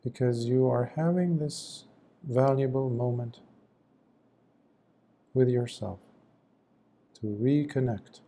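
A man leads a guided meditation in a very dark, soft-edged voice. He speaks slowly and gently, offering short phrases of instruction and leaving long pauses between them. His delivery is that of a monk teaching calm practice. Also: medium pitch.

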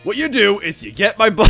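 Gravelly, bombastic monster villain, Bowser. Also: male voice, sits high, voice pushed up, full character voice. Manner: taunting, shouting showman, sputtering. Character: Bowser, gleefully cruel, bombastic monster game-show boss.

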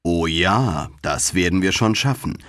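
A man's voice: deep voice, expressive, a little slow, fairly bright tone, no room sound, clean studio sound. A man voicing an obliging old witch woman in a fairy tale, sounding confident. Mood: obliging, assured.

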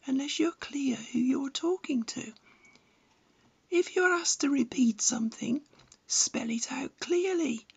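A woman in her seventies narrating scripted practical advice in a measured, precise, instructive way. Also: sits high, gravelly. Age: 70s. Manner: measured, didactic, precise phrasing. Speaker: amateur radio enthusiast and instructor.

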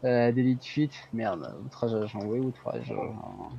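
A man's voice: medium pitch, huge pitch swings, normal speed, creaky, mellow, slightly rough. A man chatting casually in an easygoing way while playing a police commander in an online roleplay. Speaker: player acting as police commander. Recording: dull gamer voice chat with background noise.

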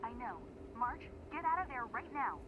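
Composed, urgent female game leader, high voice, dull phone filter. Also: expressive, moderate pace. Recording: dull phone audio with noise.

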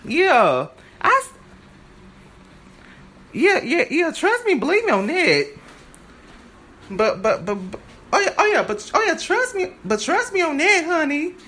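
A woman playing a high-pitched, halting, sassy divorced wife. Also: huge pitch swings, fairly bright tone, heavily acted. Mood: emphatic, excited. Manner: stammering, repeats words, sighs and laughs often. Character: sassy, boastful, rambling divorced wife from a stage play.

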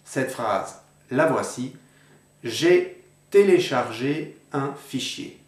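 Measured man lecturing in a roomy space. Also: mid-range voice, lively intonation. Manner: measured, addresses viewer informally, reads out paired words. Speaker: video lecturer on the dialect. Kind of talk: didactic explainer to camera. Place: indoor room with books on a table. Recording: roomy echo.

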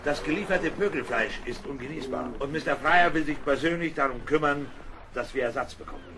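An actor playing a frank, dutiful boatswain in a radio play, reporting formally and respectfully to a superior. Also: male voice, fairly high pitch, brisk, background noise, lightly acted. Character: dutiful, frank naval boatswain, seasoned sailor. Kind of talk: German radio play acting.

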